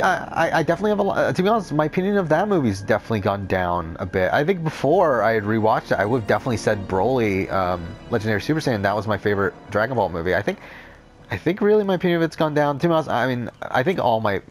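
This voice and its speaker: A young man with a cold, rambling through casual gameplay commentary, talking off the top of his head with a deep, unclear voice and frequent swearing. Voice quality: deep, not clear. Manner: rambling, unscripted, says whatever comes to mind, swears often. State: has a cold. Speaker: Let's Play commentator.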